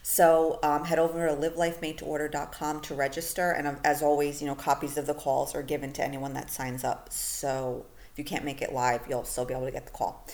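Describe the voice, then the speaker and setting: Low-voiced chatty woman podcaster. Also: flat delivery, brisk, hardly any pauses, a little mellow. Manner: casual, chatty, lots of fillers like "you know" and "like". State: losing her voice. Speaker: podcast host. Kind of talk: solo podcast monologue.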